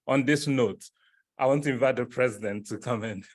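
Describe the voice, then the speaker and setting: A man's voice: mid-range voice, fairly quick. A man from Ghana chairing a conference session, speaking emphatically, repeating words as he builds up to a proverb. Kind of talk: closing a plenary session. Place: conference hall plenary.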